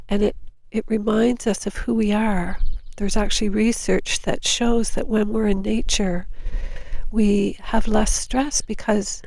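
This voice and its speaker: A woman with a stuffed-up, nasal voice, outdoors on a beach, guiding a spiritual session in soft whispered chants and calm talk. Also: medium pitch, no room sound. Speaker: spiritual guide. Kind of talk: guided light language session.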